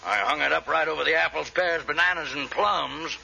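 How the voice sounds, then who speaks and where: An actor playing a short-tempered middle-aged grocer and father in a 1940s radio comedy, gruff and exasperated. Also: fairly high pitch, brisk, sharp tone, a little hiss or hum, full character voice. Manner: gruff, exasperated, barking insults. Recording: lo-fi old radio recording.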